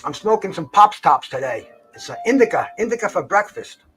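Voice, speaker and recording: High-pitched older man rambling over music. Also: sing-song, a bit bright, poor audio. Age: 60s or older. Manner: slangy, profane, says "man" and "bro" often; halting, repeats and stammers on words. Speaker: live stream host. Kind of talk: rambling casual chat to livestream viewers. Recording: jazz music playing behind the voice. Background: jazz concert recording.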